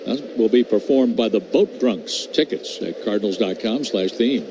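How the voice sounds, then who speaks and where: A man's voice: on the low side, normal speed. Man calling baseball over noisy ballpark ambience. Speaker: play-by-play radio announcer. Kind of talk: live baseball radio commentary. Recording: clear background noise at ballpark.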